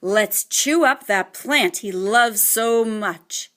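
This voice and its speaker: A woman voicing a scheming father mouse in a storybook, speaking deliberately. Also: mid-range voice, a bit bright.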